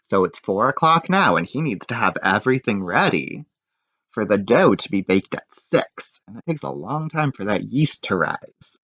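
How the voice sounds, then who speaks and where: A man's voice: on the low side, big pitch swings, normal speed, fairly bright tone. A man reading a picture book aloud to children like a teacher, expressively and with chatty asides. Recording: dull, echoey room recording.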